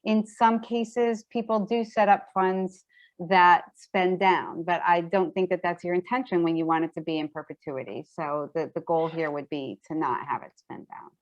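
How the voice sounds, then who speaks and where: A woman fielding questions and explaining things in a halting, hesitant way, over dull meeting audio. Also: fairly low pitch, steady tone. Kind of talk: explanatory question-and-answer talk.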